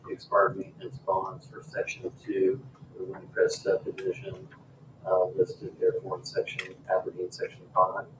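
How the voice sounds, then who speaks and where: A man's voice: low-pitched, monotone, moderate pace. An American man speaking as a council member at a public meeting, picked up in a meeting room. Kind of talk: meeting talk. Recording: very noisy, echoey, distant room pickup.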